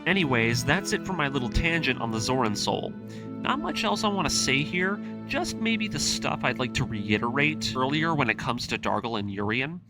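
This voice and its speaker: A man delivering an enthusiastic video essay as an excitable fan, informal and emphatic, with a storyteller's sense of drama. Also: medium pitch, normal speed. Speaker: video creator and narrator. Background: music under the voice.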